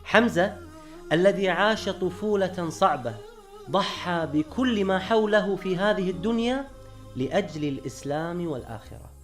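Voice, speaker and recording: A man hosting and narrating a documentary, speaking rapidly and earnestly. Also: high-pitched, animated, soft background music. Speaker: host.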